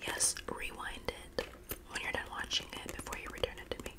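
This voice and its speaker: A woman whispering softly in an ASMR roleplay as a friendly, gossipy schoolgirl classmate. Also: fairly quick. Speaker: ASMR performer.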